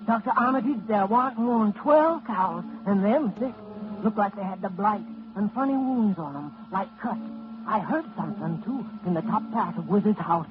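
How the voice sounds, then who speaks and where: An actor playing a frightened young country lad in a 1940s-style radio drama, with a rustic, hushed, awed manner. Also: male voice, high-pitched, lively intonation, moderate pace. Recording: tinny old radio broadcast with hiss.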